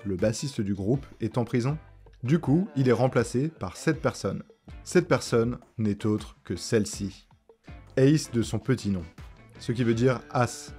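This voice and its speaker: Male video essayist, soft-edged mellow tone, informal direct address, light music underneath. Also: mid-range voice. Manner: informal, rhetorical questions, direct address to viewers. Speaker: host of a cartoon video essay channel. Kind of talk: conversational scripted video essay narration. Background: background music.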